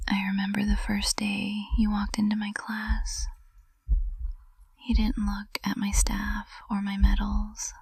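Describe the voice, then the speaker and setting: Slow, breathy whispering witch professor played by a woman. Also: mid-range voice, no echo, heavily acted. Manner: slow, hushed, intimate delivery. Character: cold, composed witch professor turned tender, vulnerable, possessive confessor. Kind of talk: intimate ASMR roleplay, whispered. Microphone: close microphone.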